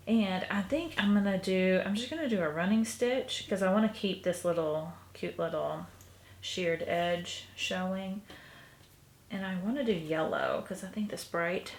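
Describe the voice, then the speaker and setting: A woman, sniffly, softly talking through a stitching project in a casual tutorial, often trailing into a half-whisper. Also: medium pitch. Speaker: crafter showing a stitching project. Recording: echoey room recording.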